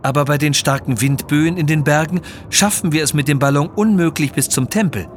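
A man's voice: a little high, fairly quick, a little hiss or hum, pitched above the performer's own voice, natural voice. A man voicing a cautious, honourable Tibetan Sherpa mountain guide who speaks formally and earnestly in an audio story.

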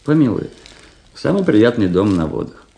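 An actor playing a vain, touchy young cadet in a radio drama, speaking in an affected, defensive way. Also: male voice, mid-range voice, very animated, normal speed, gravelly, dark tone. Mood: earnest, wistful.

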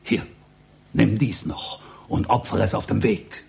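An actor in a satirical radio drama playing a fanatical librarian priest of a book cult, preaching in solemn, archaic incantations. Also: male voice, lowish voice, unhurried, gravelly, a little mellow. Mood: incantatory.

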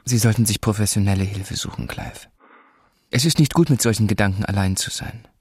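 An actor playing a gentle, courteous radio host in his thirties in a radio drama, speaking earnestly. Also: on the low side, fairly quick, breathy edge, no room sound, clean studio sound. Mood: concerned. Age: 30s.

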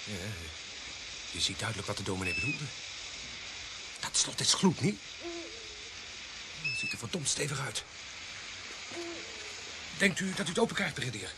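An actor playing a grumbling, joking police constable in a radio play, wry and sceptical. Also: male voice, medium pitch, huge pitch swings, moderate pace, creaky, long pauses. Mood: curious. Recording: very noisy radio drama recording.

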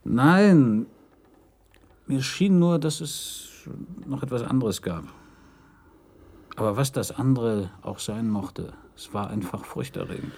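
An actor playing an uneasy, confiding man under police questioning in a German radio crime drama, husky and hesitant. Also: mid-range voice, lively intonation, slow, long pauses, a little mellow, slight background noise. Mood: brooding, confessional.

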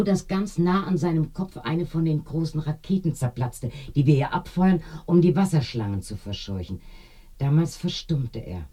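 Chatty old village woman in a radio drama, husky n mellow, very lively pitch, keeps wandering off in her stories. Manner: garrulous, digressing storyteller. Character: talkative older village woman, reminiscing and confiding. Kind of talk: German radio play acting.

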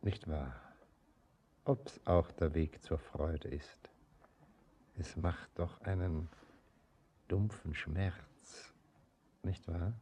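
Very deep, tender aging father. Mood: troubled, melancholy. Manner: halting, gentle, solemn. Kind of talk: poetic radio drama acting. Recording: faint background noise.

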